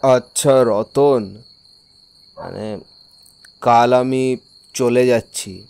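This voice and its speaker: An actor playing a weary, ailing, homesick village postmaster in an audio story, speaking haltingly and hesitantly. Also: male voice, creaky, a little mellow, clear, resonant tone. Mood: hesitant, subdued. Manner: halting, hesitant, low-key delivery. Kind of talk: audio story drama acting.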